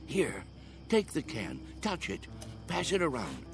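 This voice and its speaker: A man's voice: medium pitch, wildly swooping pitch, a little slow, over music. An actor playing a spirited, pleading old man in a radio drama, earnest, coaxing and dreamy in his delivery. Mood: urgent pleading.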